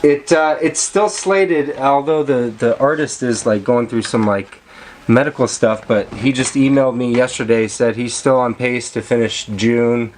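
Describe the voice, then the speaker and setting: A man's voice: medium pitch, fairly even intonation, unhurried, darkish tone, slight background noise, poor audio. A man chatting casually on a video call about his film projects, rambling with many fillers and restarts. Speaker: vlogger and filmmaker. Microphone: camera mic.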